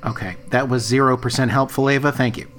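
A man's voice: medium pitch, fairly even intonation, a little fast, a bit bright, soft background music, natural voice. An actor playing an earnest, exasperated man who runs a time-traveling diner in audio fiction, explaining things in a wry, hesitant way.

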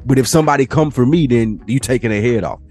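A man's voice: fast, soft background music. Laid-back man telling a story. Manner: animated storyteller, mimics people in higher voices. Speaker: podcast co-host. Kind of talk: casual chat.

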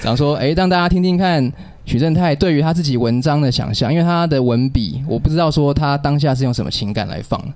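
A man's voice: a little high, quick pace, darkish tone. A man with a Taiwanese Mandarin accent chatting casually and playfully as a radio show host.

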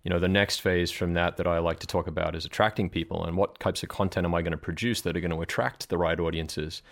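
Deep-voiced man explaining marketing strategy. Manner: thoughtful, explanatory, frequent ums and uhs. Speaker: guest, marketing director. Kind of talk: conversational podcast interview.

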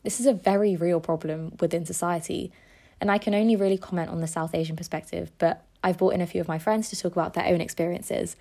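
Young woman, podcast host, earnest scripted read, lowish voice. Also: steady tone, fast talker, mellow. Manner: earnest and personal, reading from a script. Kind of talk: scripted essay-like narration.

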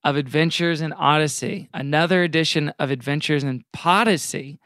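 A man's voice: on the high side, moderate pace, fairly bright tone, no echo, clean studio sound. A man co-hosting a podcast in a casual, irreverent, rambling style of joking banter. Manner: irreverent, mock-reverent, rambling. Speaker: podcast co-host.

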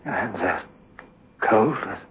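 A tormented young man stammers desperately in a breathy, husky voice that swings widely in pitch. The performance is overwrought old radio horror, with muffled, dull sound. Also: animated, slow pace, full character voice. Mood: fearful, hushed. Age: 20s. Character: tormented, frightened young man pleading for his life. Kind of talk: 1960s radio horror acting.

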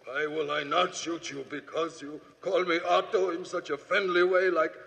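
Dying German murderer. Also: male voice, a little high, level tone, moderate pace, vocal fry, a bit bright, husky, heavily acted. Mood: anguished. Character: desperate dying German murderer, middle-aged man. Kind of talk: 1950s radio drama acting. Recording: old radio broadcast, hall reverb.

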